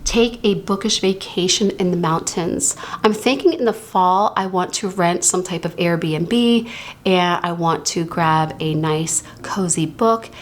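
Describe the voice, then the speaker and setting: A woman casually talking through her reading journal on her channel, her voice still slightly hoarse from a recent illness, chatty and stretching out words she wants to stress. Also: on the low side. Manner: chatty, drawn-out emphasis on words she likes.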